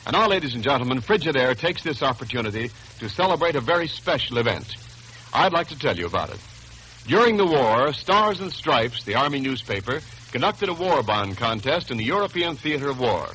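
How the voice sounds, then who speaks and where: A man's voice: mid-range voice, big pitch swings, fairly quick, pushed and bright, husky, low-quality recording. An American man announcing in scripted 1940s radio style, emphatic, formal and polished, on an old broadcast. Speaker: radio announcer and sponsor spokesman.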